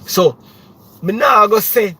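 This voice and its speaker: A man's voice: sits high, lively intonation, a little slow, bright, forceful tone, breathy edge. A young Jamaican man, a music producer, talking casually on a livestream, rambling with many restarts and "you know what I mean.".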